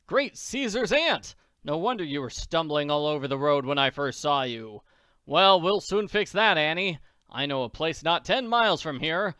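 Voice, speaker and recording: A man doing a booming jovial tycoon. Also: expressive, moderate pace, pushed and bright, clear, resonant tone, no echo, clean studio sound. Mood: hearty. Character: hearty rich older businessman.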